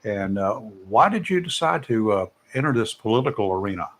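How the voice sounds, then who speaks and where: A man's voice: lowish voice, very animated, brisk. A man hosting a local radio talk show, asking pointed questions in a conversational, joking way. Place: radio studio.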